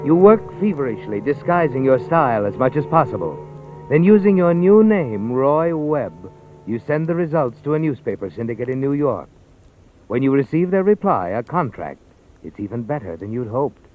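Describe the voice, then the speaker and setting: Brief: male actor as brooding suspense narrator, vintage radio drama, animated pitch, thin phone-like sound over faint music. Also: normal speed, natural voice. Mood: measured suspense. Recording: tinny old radio broadcast, faint music.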